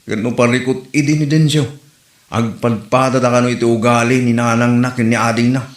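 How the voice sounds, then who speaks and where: A man's voice: medium pitch, steady tone, brisk, close to an everyday voice. A man playing an easygoing, consoling village friend in an Ilocano comedy drama, with a Filipino Ilocano accent. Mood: curious, easygoing. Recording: roomy echo.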